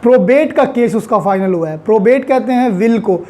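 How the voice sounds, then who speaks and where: A woman's voice: on the high side, lively intonation, moderate pace, mellow. A woman with a Pakistani accent giving an explanatory law lecture, didactic and repeating key points, switching between two languages.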